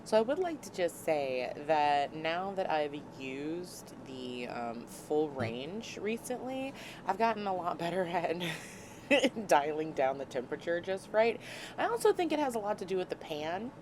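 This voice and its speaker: A woman hosting a casual cooking vlog from a small RV, slightly hoarse and scratchy from a cold, chatty and laughing at her own clumsiness. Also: lowish voice, big pitch swings, unhurried, a little mellow. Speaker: vlog host. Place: small RV kitchen. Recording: noticeable background noise.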